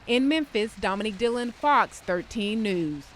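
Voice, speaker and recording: A woman's voice: on the high side, animated, normal speed, heavy background noise. A woman reading scripted news narration as a TV reporter.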